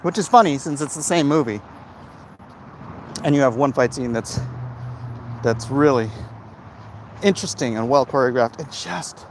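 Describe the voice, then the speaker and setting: A man chatting casually and giving opinions in a halting way, as if hosting a livestream. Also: mid-range voice, a little hiss or hum. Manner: halting, frequent throat clearing. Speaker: livestream host answering viewer comments.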